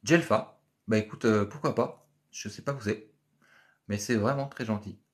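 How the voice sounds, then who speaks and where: A man, hosting a casual live stream from a small office and answering viewer comments, chatty and repetitive with many filler pauses. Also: lowish voice. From France. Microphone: phone camera at arm's length.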